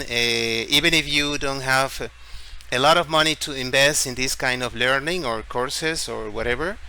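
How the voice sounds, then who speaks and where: A man from Ecuador with an Ecuadorian Spanish accent hosts an informal screen-share explainer in halting, deliberate phrases, often repeating words. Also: mid-range voice, pushed and bright. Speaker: tutorial video host. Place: at home, street celebration outside. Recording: faint background noise.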